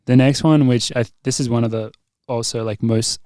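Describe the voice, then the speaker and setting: A man's voice: mid-range voice, fairly even intonation, normal speed, darkish tone, no room sound, studio quality. A young man co-hosting a podcast, steering the talk in a casual, rambling way and laughing often. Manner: laughing, leading the segment, rambling. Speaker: podcast co-host.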